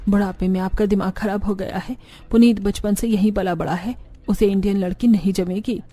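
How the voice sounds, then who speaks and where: A woman plays a bossy, dismissive wife and mother-in-law in a family cartoon. Her tone is dark and mellow at a medium pitch, over music. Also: steady tone, fairly quick, close to an everyday voice. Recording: background music under the voice.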